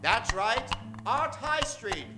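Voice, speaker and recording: A man's voice: extremely high pitch, big pitch swings, takes it slowly, music in the background. A man hosting a live comedy radio show from a theater stage, bantering with the audience and drawing out names in a theatrical announcer style.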